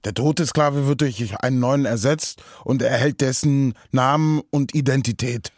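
Actor doing grim detached man, stage declaiming, deliberate, raspy, dry sound. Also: mid-range voice, dry recording, close to an everyday voice. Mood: grim, solemn.

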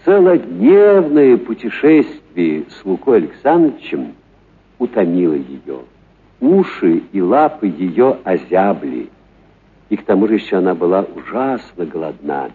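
Male storyteller, lively intonation, literary radio reading, dramatic stresses, narrow phone-like sound. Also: sits low, a little slow, breathy edge. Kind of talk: expressive literary narration for radio.

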